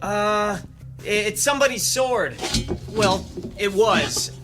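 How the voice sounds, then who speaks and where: An actor playing a flustered young stagehand who stammers and apologizes. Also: male voice, high voice, animated, slow pace, over music. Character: flustered young male stage crew worker.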